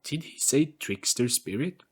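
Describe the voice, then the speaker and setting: A man's voice: medium pitch, lively intonation, a little slow, breathy edge, voice pushed up. A man voicing an inquisitive young boy in an audio story, questioning and incredulous. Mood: doubtful.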